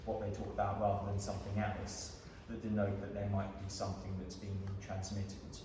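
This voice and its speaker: A man speaking as a psychotherapist on a panel, explaining in a measured, lecturing way. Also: on the low side, monotone. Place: large gallery room. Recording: echoey gallery hall from far off, noisy.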